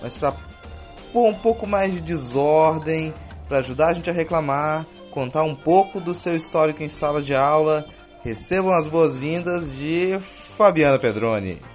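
High-voiced Brazilian man hosting a podcast. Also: normal speed. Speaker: podcast host; teacher. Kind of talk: informal scripted podcast narration. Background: music.

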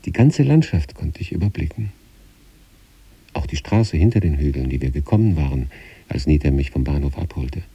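A man reads literary first-person narration in a measured, contemplative way. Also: extremely low pitch, mellow, husky, lo-fi sound. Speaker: narrator reading a literary story.

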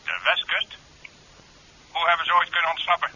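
An actor playing a stern, demanding police commissioner in a radio drama, clipped and authoritative, heard through a telephone. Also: male voice, a little high, sing-song, normal speed, pushed and bright, full character voice. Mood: alarmed, stern. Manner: clipped, authoritative, barking questions and orders. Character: stern, demanding male police commissioner giving urgent orders. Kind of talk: 1970s Dutch radio drama acting. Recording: dull 1970s radio recording, telephone sound.

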